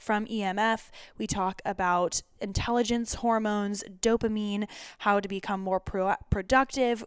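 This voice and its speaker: A woman's voice: mid-range voice, clean tone, no room sound, studio quality. A young adult woman hosting a health podcast, chatty and rambling, often cutting herself off mid-word. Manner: chatty, rambling, self-interrupting. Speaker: podcast host. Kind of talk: solo intro then interviewing.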